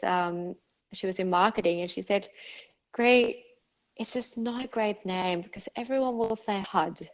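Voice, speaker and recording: A woman's voice: medium pitch, poor audio. Woman, middle-aged, faded Norwegian accent, chatty, fillers and restarts, interview guest. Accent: Norwegian, partly faded. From Norway. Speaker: clinic owner guest. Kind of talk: casual interview answers.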